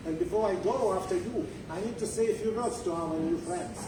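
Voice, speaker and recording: A storyteller voicing a young queen, commanding yet gracious, speaking in formal, deliberate proclamations. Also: medium pitch, background noise, lightly acted. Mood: solemn, commanding. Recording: roomy distant pickup with music behind.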